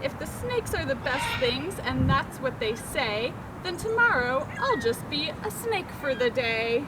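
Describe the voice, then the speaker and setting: A woman's voice: high voice, sing-song, measured pace, very noisy. A woman voicing a restless, eager male emu from a children's storybook, declaiming in rhyme. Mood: decided, pleased.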